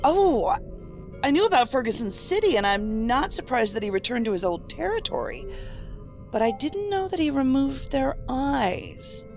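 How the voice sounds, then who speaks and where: A woman playing a brisk, skeptical federal investigator in an audio drama, terse, dry and questioning. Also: high voice, very animated, darkish tone, soft background music. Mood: terse, probing.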